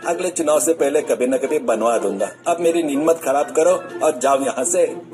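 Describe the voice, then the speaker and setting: A man's voice: on the high side, moderate pace, voice pushed up, lightly acted. A man voicing a selfish, corrupt village politician in a cartoon story. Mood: dismissive, irritated. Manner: dismissive, boastful. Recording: music bed under the voice.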